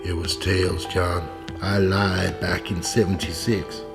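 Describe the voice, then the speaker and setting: A man's voice: sits low, flat delivery, slow, vocal fry, in a large hall, music in the background, full character voice. An actor playing a bitter, rambling down-and-out ex-serviceman in a radio play. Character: bitter, rambling down-and-out ex-serviceman, crooning old songs.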